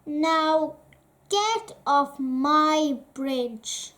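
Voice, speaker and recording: A woman voicing a gruff, greedy, menacing troll who roars and bellows in a children's storybook reading. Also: sits high, expressive, extremely slow, a bit bright, full character voice. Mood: grudging. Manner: roaring, bellowing.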